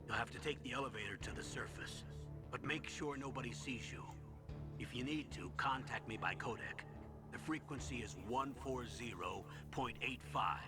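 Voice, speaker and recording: Casting: authoritative colonel type performed by a man, mid-pitched, measured and instructional, video game briefing with loud music underneath. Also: close to an everyday voice. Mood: calm, authoritative. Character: composed authoritative male military commander briefing an agent.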